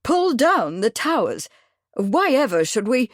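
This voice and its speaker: Brusque, forceful company boss, stubborn, voiced by a woman narrator, dry and close. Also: mid-range voice, animated, normal speed, no room sound, close to an everyday voice. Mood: astonished. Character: brusque male company manager, stubborn and fierce.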